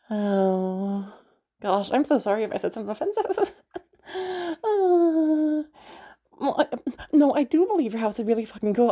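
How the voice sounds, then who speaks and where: A woman's voice: medium pitch, dark tone, close to an everyday voice. A woman playing a chatty, friendly, easily flustered escort in a roleplay audio drama, rambling informally and swearing casually. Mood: flustered, apologetic. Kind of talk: roleplay audio drama, casual improvised-sounding monologue to the listener.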